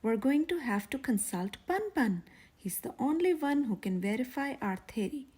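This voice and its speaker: A woman's voice: high-pitched, sing-song, normal speed, a little mellow, husky, exaggerated voice. A woman voicing a precise, solemn little girl scientist in a storybook, with formal, clinical phrasing. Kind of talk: children's storybook read-aloud.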